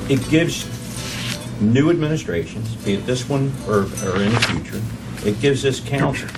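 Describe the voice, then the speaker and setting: A man speaking at a council meeting in formal remarks, halting with many fillers as he builds his case. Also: on the low side. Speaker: council member. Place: council chamber. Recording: distant mic, noisy room hum.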